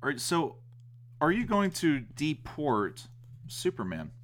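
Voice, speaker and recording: A man's voice: on the high side, wildly swooping pitch, slow pace, fairly bright tone. A man co-hosting a podcast in casual chat, joking and going along with his partner. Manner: joking, agreeable banter. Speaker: podcast co-host.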